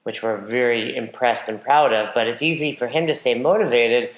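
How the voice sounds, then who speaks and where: A man's voice: mid-range voice, moderate pace. A man hosting a casual podcast chat as a nutrition coach, direct and joking in a coach-like way. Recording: roomy echo.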